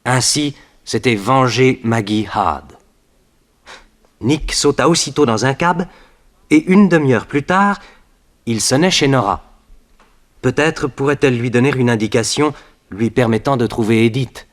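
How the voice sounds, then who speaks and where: A man's voice: medium pitch, measured pace, pauses often, natural voice. A man narrating a 1960s French radio detective serial in a scripted, dramatic style.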